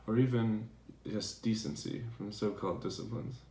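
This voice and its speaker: Man acting a creaky-voiced, aggrieved professor. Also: lowish voice, steady tone, moderate pace, warm tone, lightly acted. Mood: weary, aggrieved. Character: defensive, aggrieved male German professor writing a letter. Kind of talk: dramatized letter reading. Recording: roomy, echoing recording.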